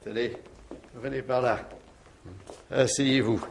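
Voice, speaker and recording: An actor in a French radio mystery play, playing a wry, nonchalant husband who evasively shrugs off suspicion. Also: male voice, mid-range voice, steady tone, slow, creaky, heavy background noise. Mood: affable. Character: wry, unruffled husband suspected over his missing wife.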